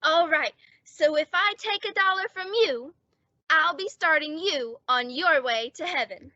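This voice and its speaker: A woman playing an earnest, dim but scheming teenage boy in an old-time radio sitcom, pitching silly arguments very formally. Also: measured pace, bright, forceful tone, a little hiss or hum. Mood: hopeful, wheedling. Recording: dull, lo-fi audio.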